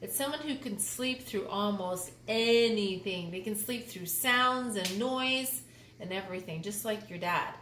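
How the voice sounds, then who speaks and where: A woman reads a children's picture book aloud at a slow pace, with an expressive, lively delivery. She pauses to explain things to young listeners. The room is echoey, with faint noise behind her. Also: mid-range voice. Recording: roomy echo with faint background noise.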